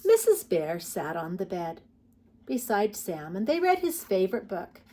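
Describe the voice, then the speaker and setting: A woman reading picture books aloud to preschoolers as a storytime host, expressive and putting questions to young listeners. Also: medium pitch, measured pace. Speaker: children's storytime host and reader. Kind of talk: read-aloud picture book narration for preschoolers.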